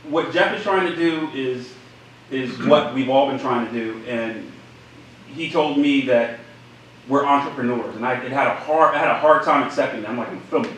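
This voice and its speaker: A man with a bright, forceful voice, joking and acting out quotes as a filmmaker guest at a live event. He sounds distant in a very echoey room. Faint background noise sits under him. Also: mid-range voice, normal speed. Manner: jokey, animated, acts out quotes. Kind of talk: animated casual talk at a live event. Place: indoor event room with group.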